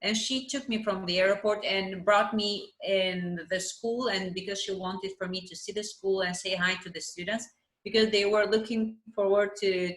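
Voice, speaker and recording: A woman's voice: medium pitch, a bit bright. A woman in her twenties from Albania with an Albanian accent, answering interview questions conversationally in a second language, repeating short phrases for emphasis. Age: 20s. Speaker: interview guest, math teacher. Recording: roomy, echoing sound.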